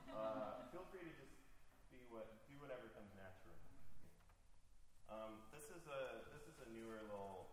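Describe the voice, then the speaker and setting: A man whose first language is Farsi, reading his poems aloud at a live event. He is measured and heightened in the poems and casual and halting between them. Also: fairly low pitch, expressive, slight background noise. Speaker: poet. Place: performance venue with an audience. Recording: echoey hall with long reverb, faint ambience.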